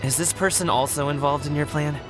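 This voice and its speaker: A man's voice: a little high, steady tone, fairly quick, close to an everyday voice. An actor playing an earnest, humble young man in a game, with music underneath. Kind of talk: game voice acting. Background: game background music.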